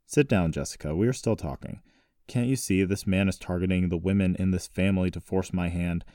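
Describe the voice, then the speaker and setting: A man's voice: low voice, fairly quick, mellow, studio quality, natural voice. A man voicing a stern, protective grandfather, grave and commanding, in audiobook dialogue. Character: stern, protective, secretive grandfather, wealthy family patriarch. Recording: roomy echo, distant mic.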